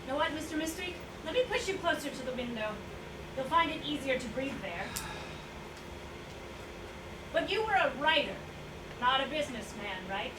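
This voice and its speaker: A noisy, far-off recording of a staged play with a woman acting a carer who questions and doubts. Her voice is high, her manner pointed and probing. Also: expressive, moderate pace, long pauses. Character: probing, skeptical woman caring for an old man. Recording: distant echoey room, noisy draft recording.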